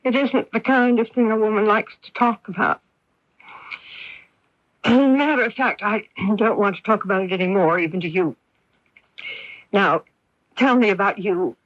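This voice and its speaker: An actress playing a middle-aged mother, wounded, bitter and quietly desperate, speaking with halting, emphatic repetitions in a radio drama. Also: mid-range voice, dry recording, lo-fi sound, lightly acted. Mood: guarded. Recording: band-limited 1980s AM radio broadcast.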